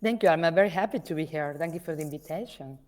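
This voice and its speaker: Woman guest from Argentina, Spanish native speaker; lower register, unhurried, thinking aloud. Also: a little mellow. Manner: hesitant, searching for words in English. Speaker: podcast guest, artist manager. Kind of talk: conversational interview.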